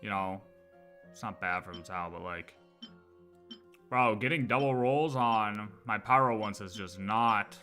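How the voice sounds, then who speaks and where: A man's voice: on the low side, measured pace, bright, forceful tone, soft background music. A man livestreaming a video game, chatting casually and thinking aloud in slangy, offhand asides.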